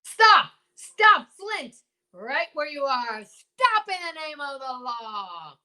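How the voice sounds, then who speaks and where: Woman playing a forceful, high-pitched old-time lawman. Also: very animated, takes it slowly, full character voice. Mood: urgent, determined. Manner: emphatic, folksy, commanding. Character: determined, blustering old-time lawman marshal, male. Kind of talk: old-time radio serial acting.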